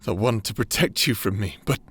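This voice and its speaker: A man playing an earnest necromancer in a dark fantasy romance audio drama, speaking in a halting, emotional way with heavy pauses. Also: lowish voice, slightly rough. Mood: stunned, bitter. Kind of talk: dramatic audio roleplay acting.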